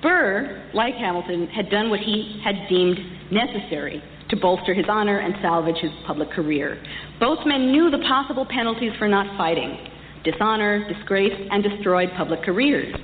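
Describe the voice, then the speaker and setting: A woman giving a prepared public lecture, telling history in measured, emphatic and deliberate phrases. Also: mid-range voice, expressive. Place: large reverberant hall. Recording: echoey hall, dull distant sound, faint noise.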